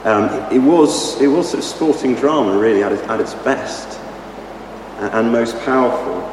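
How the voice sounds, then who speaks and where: A man, his voice a bit croaky, preaching a sermon with halting restarts and many ums. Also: medium pitch. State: slightly croaky voice. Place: church hall. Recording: echoey hall from far off, noisy.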